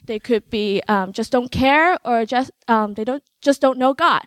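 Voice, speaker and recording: A young woman shares her student testimony, pausing often and filling gaps with soft "um"s. Her voice is smooth and resonant, sitting a little high. The sound is dry and close. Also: no echo. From Hong Kong. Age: young adult. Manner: hesitant, many filler sounds, light laughs. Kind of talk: personal testimony on stage.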